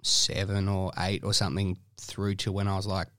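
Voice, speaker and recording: A man chatting casually as a podcast guest, relaxed, with frequent laughs and fillers. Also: deep voice, normal speed, dry recording, studio quality. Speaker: guest musician.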